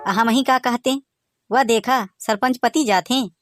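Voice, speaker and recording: A man with a Bagheli accent voicing a boastful village son-in-law in a comic cartoon, teasing in lively banter. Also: extremely high pitch, moderate pace. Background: music.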